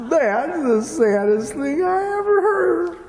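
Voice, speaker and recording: Soft-hearted rural Southern sidekick, male actor, plaintive and folksy, very animated pitch, old-time radio style, long hall reverb. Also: creaky, full character voice. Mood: tearful. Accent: rural Southern American. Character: Abner, soft-hearted, gullible, whiny rustic sidekick. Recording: reverberant hall stage recording.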